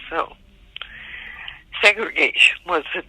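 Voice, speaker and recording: A woman in her eighties from Montgomery, Alabama, recalling her childhood slowly and reflectively for a family oral history interview, with soft pauses. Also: on the low side, breathy edge, no room sound, rough recording. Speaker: interviewee, great-aunt.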